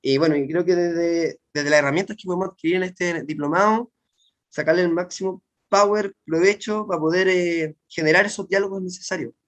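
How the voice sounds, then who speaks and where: A man speaking up in an online class, halting and restarting as he talks. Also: sits high, fairly even intonation. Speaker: course participant.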